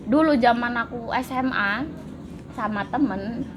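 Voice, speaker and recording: A relaxed woman talks in a casual chat, matter-of-fact and unhurried. Her pitch is a touch high. Clear background noise sits behind her. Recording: noisy background.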